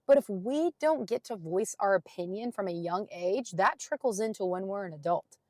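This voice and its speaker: A young adult woman with a deep raspy voice hosts her own podcast, talking earnestly and emphatically to encourage listeners, with casual fillers like "like" and "gosh". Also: a little mellow, no room sound. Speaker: podcast host. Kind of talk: motivational solo podcast talk.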